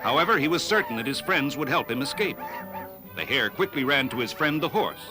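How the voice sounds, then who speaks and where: A man's voice: mid-range voice, lively intonation, a little fast, over music. A man narrating a scripted fable in storybook style.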